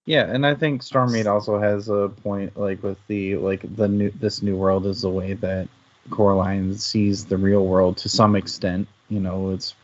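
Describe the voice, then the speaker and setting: A man's voice: lowish voice, level tone, normal speed, dull audio. A man talking casually and thoughtfully as a panelist in a book discussion, analysing as he goes.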